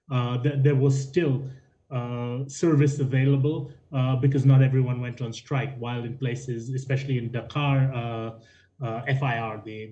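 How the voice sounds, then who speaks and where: A man speaking as an expert guest on the news, explaining off the cuff in a measured, hesitant way with repeated words. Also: mid-range voice, dark tone. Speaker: aviation consultant, interview guest. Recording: dull, lo-fi remote link.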